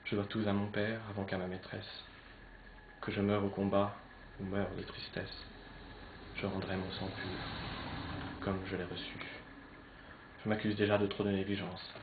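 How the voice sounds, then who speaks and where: A man's voice: lowish voice, completely flat delivery, a little slow, long pauses. Man performing anguished young nobleman, roomy sound. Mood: resolute. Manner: declamatory, swinging from hushed to loud. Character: anguished young Spanish nobleman torn between love and honour. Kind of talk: theatrical recitation of classical French verse monologue. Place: poetry club, live recitation. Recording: roomy, slightly dull live recording, noisy.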